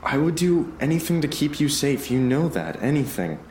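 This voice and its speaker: A man's voice: fairly high pitch, very animated, normal speed, a little mellow. A man playing a devoted, hot-tempered young superhero boyfriend in an audio drama, speaking with pleading intensity. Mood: pleading, intense. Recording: roomy echo, faint background noise.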